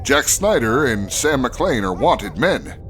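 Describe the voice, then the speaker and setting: A man's voice: mid-range voice, sing-song, takes it slowly, fairly bright tone, slight background noise. A man playing an earnest, commanding Mountie sled driver in an old-time radio adventure style. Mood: earnest, serious. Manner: stalwart, declamatory.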